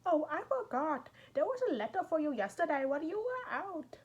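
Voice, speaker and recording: A woman voicing a teasing young servant woman in a read-aloud story, pitched high and loud. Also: very animated, normal speed, dull audio. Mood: chatty.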